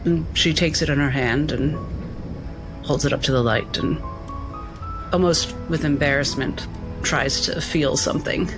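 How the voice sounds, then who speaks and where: A man's voice: on the high side, measured pace, pauses often, low-quality recording. A man playing a tabletop game, narrating his character's actions in a hesitant, improvised way. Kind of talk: narrating own character's actions in third person. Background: music.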